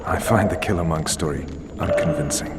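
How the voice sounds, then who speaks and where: An actor playing a hard-bitten special forces commander in a fantasy video game, stern, blunt and dry in manner. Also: male voice, low-pitched, brisk, heavy background noise, natural voice. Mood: measured. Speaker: interrogator and military commander.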